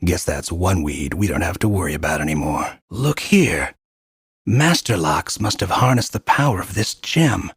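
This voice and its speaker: A deep, very husky folksy guide. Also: male voice, lively intonation, normal speed, no echo, clean studio sound, heavily acted. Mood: satisfied, resolute. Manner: folksy, drawling colloquial talk, wry. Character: folksy gruff male guide to a fantasy land, wry mentor. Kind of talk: scripted game character narration.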